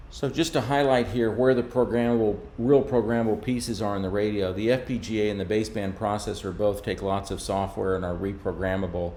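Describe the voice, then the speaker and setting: A man's voice: lowish voice, a little mellow, a little hiss or hum. A man giving an informal, explanatory technical slide presentation as a company presenter. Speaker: radio manufacturer's presenter.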